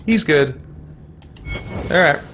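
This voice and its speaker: A man's voice: on the high side, lively intonation, slow, a bit bright. A man giving casual gameplay commentary, chattily thinking aloud as he plays. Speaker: let's play host. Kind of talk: casual gameplay commentary with muttered self-talk. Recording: noisy, game sound and music behind voice. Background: video game sound and music.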